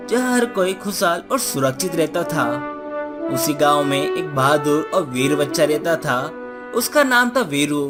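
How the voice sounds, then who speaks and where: A woman's voice: on the low side, a bit bright. A woman narrating a scripted moral story for children as a cartoon storyteller. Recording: music behind the voice.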